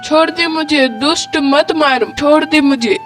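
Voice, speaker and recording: Cartoon mom: kind old widow, worried and pleading, high voice, played by a woman; music beneath. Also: a little slow. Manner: pleading, motherly. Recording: music bed under the voice.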